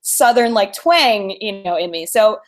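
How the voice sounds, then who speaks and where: An American woman co-hosting a podcast, telling anecdotes in a casual, animated way and laughing easily as she talks. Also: medium pitch, measured pace. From born in Mobile, Alabama; grew up on the US East Coast. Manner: animated storytelling, laughs easily while talking. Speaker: podcast co-host.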